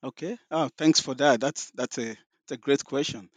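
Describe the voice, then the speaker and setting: A man's voice: medium pitch, moderate pace, dry recording. A middle-aged man with a Nigerian accent, answering interview questions thoughtfully, with many restarts and "you know" fillers. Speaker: guest scholar.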